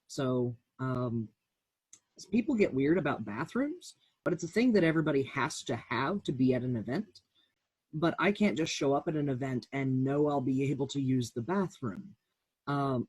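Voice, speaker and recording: A man speaking as a round table panelist, calmly and earnestly sharing a personal account. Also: medium pitch, normal speed, husky, no echo, low-quality recording.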